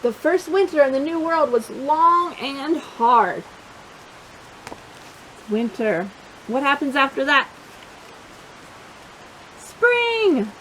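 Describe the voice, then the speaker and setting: A woman with a high, very animated voice reads a children's storybook aloud. Her delivery swings widely in pitch, and she speaks directly and encouragingly to little listeners. A steady hiss runs behind her voice. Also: slow pace, pauses often, rough recording. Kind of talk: animated picture-book read-aloud with questions to young listeners.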